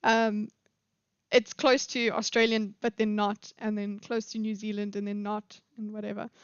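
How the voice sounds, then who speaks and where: A woman's voice: mid-range voice, flat delivery, moderate pace, smooth, dry recording, highs cut off. A woman with a South African accent, commenting on a live stream in a rambling way, with many fillers and restarts.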